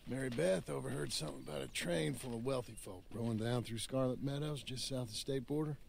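An actor playing a rough cowboy outlaw in a video game, talking in a gruff, dry drawl. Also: male voice, medium pitch, fast, slight background noise. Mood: scheming. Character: rough cowboy outlaw planning a train job.

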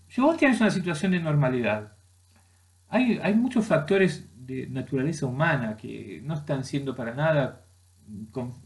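A middle-aged man with an Argentine Spanish accent, giving an opinion monologue to camera from a small room at home. He talks haltingly and argumentatively, with many restarts and fillers. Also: fairly high pitch.

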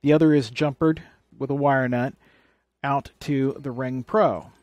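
A man's voice: medium pitch, steady tone, unhurried, a little mellow, no room sound. Man giving a dry, close-sounding tutorial. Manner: plain-spoken, explanatory, step by step. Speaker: presenter of an electronics how-to. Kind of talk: hands-on tutorial demonstration.

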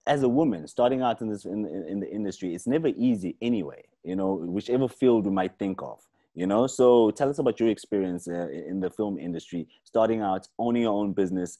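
A young man asks questions over a video call, wearing earphones and sitting on a couch. His voice is low and mellow, and he hesitates often with "um" and "uh" before getting to the point. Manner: hesitant, many "um" and "uh", laughs easily. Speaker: interviewer. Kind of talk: casual video-call interview. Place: living room, on a couch indoors. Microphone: earphone mic on video call.

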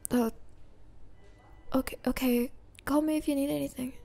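A woman playing an anxious, grieving young adult in an ASMR roleplay, speaking in a hushed, breathy, halting way. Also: high voice, fairly even intonation, soft background music. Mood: subdued, fond. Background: hospital ambience.

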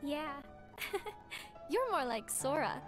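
Game casting: a sweet teen girl, high-pitched, slow delivery, lightly teasing, music underneath. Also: sing-song, heavily acted. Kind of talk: video game cutscene acting.

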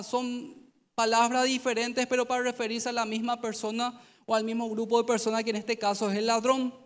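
A man in his thirties with a Paraguayan Spanish accent, preaching a youth sermon on stage into a handheld mic, telling the story in a conversational way and acting out the dialogue. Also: extremely high pitch. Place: large stage in an auditorium. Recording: long hall reverb.

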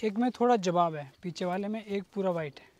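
Young male handler, high pitch, brief deferential replies, open-air. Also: brisk, a little mellow. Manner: short compliant replies, "जी, जी". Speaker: stud farm handler. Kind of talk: casual answers. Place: outdoors on a farm lawn.

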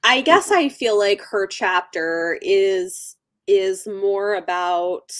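A woman's voice: mid-range voice, slow pace, fairly bright tone. Young woman on a book livestream, mid-range voice, chatty, kinda hesitant, lets thoughts trail off. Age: young adult. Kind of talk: casual book discussion. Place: room at home, indoors.

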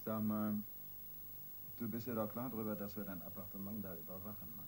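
An actor playing a wry, self-assured police detective in a radio crime drama, colloquial and needling. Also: male voice, fairly low pitch, steady tone, a little fast, darkish tone, natural voice. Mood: needling, sardonic. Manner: colloquial, sardonic. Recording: radio play with faint noise.

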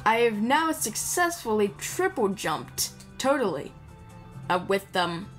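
Female gaming commentator, mid-pitched, casual and playful, wandering chatter aimed at the characters, game music underneath. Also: sing-song, slow. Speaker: gamer playing and commenting. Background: video game music.

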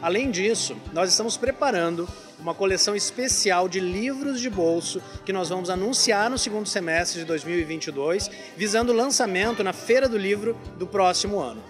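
A man's voice: sits high, expressive, fairly quick, few pauses, over music. A man giving an articulate on-camera interview as a director. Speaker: publishing house director, interviewee. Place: book fair stall under a tent. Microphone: handheld reporter microphone.

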